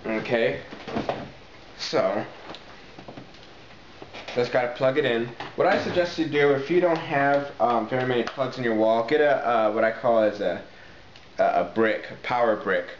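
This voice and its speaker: A man's voice: medium pitch, measured pace, pauses often. A man presenting a casual hands-on how-to video in an informal way, addressing viewers as guys and repeating key points for emphasis. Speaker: tutorial presenter, talk box player. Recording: muffled, echoey, noisy amateur video sound.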